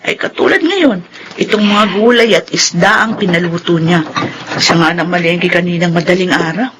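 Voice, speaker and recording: A woman's voice: on the low side, moderate pace, natural voice. A woman playing a weary, loyal middle-aged wife and mother in a Filipino radio drama, earnest and plaintive. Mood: worried. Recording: noisy radio broadcast with background ambience.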